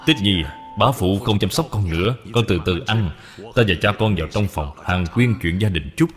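A man's voice: fairly low pitch, expressive, moderate pace, natural voice. A man voicing a dignified, courteous middle-aged lord and father in a children's cartoon, speaking formally and with measure. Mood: genial. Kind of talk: dubbed children's moral cartoon. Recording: long hall reverb, music behind.

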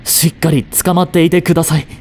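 A man's voice: a little high, flat delivery, brisk, slight background noise. A man playing a devoted young butler. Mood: urgent. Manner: soft, gentle and intimate in the bedside scene; shouting and frantic while searching the fire. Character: devoted young male butler, protective and tender. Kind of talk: romantic voice drama acting.

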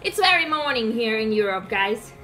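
A woman's voice: fairly high pitch, very animated, normal speed, bright, forceful tone, soft background music, low-quality recording. A young woman from Croatia with a Croatian accent, giving upbeat, chatty commentary on her own mobile gameplay from a desk at home. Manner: upbeat, chatty, hums along while playing. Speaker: gaming streamer.

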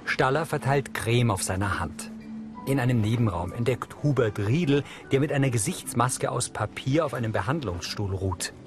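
A man's voice: mid-range voice, expressive, normal speed. A man reading factual audio description in a neutral, scripted style. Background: music.

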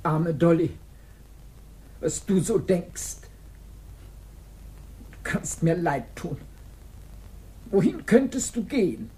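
A woman's voice: lowish voice, very animated, slow pace, long pauses, dark tone. An actress playing a stern, domineering middle-aged businesswoman in a 1950s radio drama, reproachful and scornful. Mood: cold, wounded.

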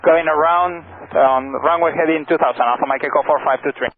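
A man's voice: fairly high pitch, a little fast. A man, an airline pilot, reading back instructions over the radio. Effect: radio transmission. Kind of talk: radio readbacks. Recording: phone-like cockpit radio, faint noise.

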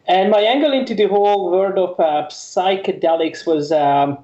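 A man's voice: high-pitched, normal speed, warm tone, roomy sound, lo-fi sound. A man from Hungary, a researcher, answering interview questions in a hesitant way with many fillers. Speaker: researcher guest.

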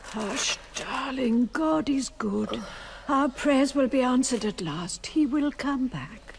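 A woman's voice: high voice, animated, takes it slowly, vocal fry, noisy background, heavily acted. An actress playing a devout, patient imprisoned mother who soothes her daughter in a radio drama. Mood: soothing, wistful.

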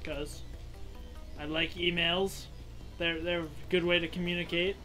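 A man with a bright, forward voice chats casually through a video game. He is talkative and jokey, and game music plays behind him. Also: high-pitched, flat delivery, a little slow, rough recording. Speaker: gaming co-commentator. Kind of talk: casual let's play commentary.

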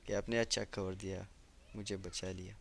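A man's voice: sits low, level tone, moderate pace, creaky, a little hiss or hum. A man giving casual commentary as a gaming YouTuber. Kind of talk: casual game walkthrough commentary.

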